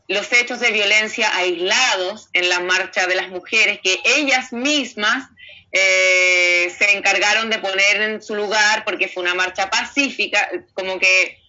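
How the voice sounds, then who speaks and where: Tense, bright-voiced woman, slightly muffled. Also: mid-range voice. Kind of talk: conversational opinion in a group interview. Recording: muffled lo-fi audio.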